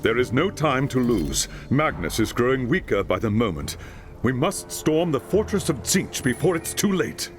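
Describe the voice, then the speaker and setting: An actor playing a solemn, commanding older male mentor who briefs a hero in a fantasy video game, speaking gravely. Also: medium pitch, big pitch swings, normal speed, long reverb tail, slight background noise, natural voice.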